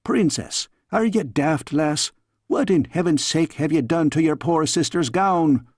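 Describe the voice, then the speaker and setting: A man's voice: a little high, lively intonation, a little slow, darkish tone, husky, no echo, clean studio sound. A man voicing a stout, motherly castle maid who scolds in exasperation with a Scottish accent, in a storybook reading. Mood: outraged. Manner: thundering, exasperated, fussing.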